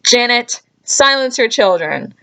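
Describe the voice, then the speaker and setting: A woman's voice: fairly high pitch, lively intonation, unhurried, sharp tone, rough recording. A woman vlogger telling stories casually, with a joking, mock-scolding manner and dry asides. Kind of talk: casual comedic storytelling to camera.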